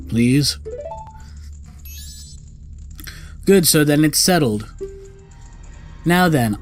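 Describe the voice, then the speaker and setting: A man's voice: mid-range voice, a little mellow, lightly acted. A man voicing a small, sassy, bossy female fairy companion, reading out video game dialogue. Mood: pert, bargaining.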